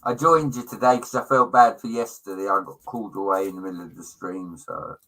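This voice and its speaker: A man's voice: on the low side, steady tone, fairly quick, fairly bright tone, rough recording. A middle-aged British man in casual livestream chat, talking in a chummy, opinionated way with slang and swearing. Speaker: livestream co-host.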